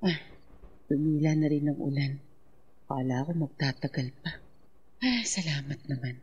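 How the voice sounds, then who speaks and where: A woman's voice: deep voice, lo-fi sound, background noise, close to an everyday voice. A woman playing a tender, pleading, grieving mother in an emotional, melodramatic radio drama style. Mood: uneasy, wistful. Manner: emotional, slow, drawn-out.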